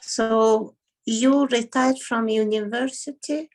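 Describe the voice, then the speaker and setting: A woman from Mongolia speaking English, giving brief, halting replies in a casual conversation. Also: fairly high pitch, steady tone, darkish tone, rough recording. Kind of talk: casual video-call conversation.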